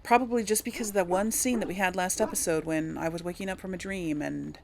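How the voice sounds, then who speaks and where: A woman's voice: fairly low pitch, fairly even intonation, fast, gravelly, crowd noise. Chatty woman narrating her video game playthrough. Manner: chatty, thinking aloud, talks directly to viewers. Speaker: host of a video game role-play series. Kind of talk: casual let's play commentary.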